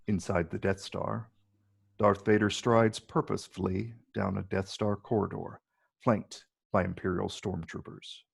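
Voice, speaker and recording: A man with a gravelly, husky voice reading screenplay stage directions as scripted narration. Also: low voice, little pitch movement, measured pace.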